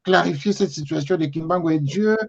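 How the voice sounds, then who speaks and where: A man hosting a TV talk show, speaking in a polite, measured way with frequent hesitations. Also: on the high side, gravelly, lo-fi sound. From Congo. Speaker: TV show host.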